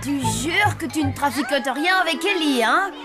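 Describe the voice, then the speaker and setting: A woman's voice: high-pitched, lively intonation, moderate pace, music in the background. A woman voicing a suspicious, accusing young zombie sibling in a French cartoon. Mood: suspicious, nagging. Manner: accusing, nagging. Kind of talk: French animated cartoon dubbing.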